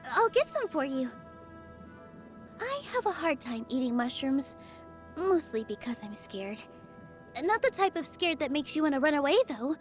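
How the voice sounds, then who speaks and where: High, sweet young dancer. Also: female voice. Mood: sheepish. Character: sweet, earnest young woman dancer, shy and kind. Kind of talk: video game character voice lines. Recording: music behind the voice.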